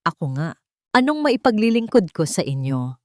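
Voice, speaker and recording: A woman's voice: mid-range voice, big pitch swings, normal speed, darkish tone, no room sound, studio quality, close to an everyday voice. A woman with a Filipino accent voicing a serious, protective wealthy husband who speaks directly, in a narrated story. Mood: guarded. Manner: direct, questioning.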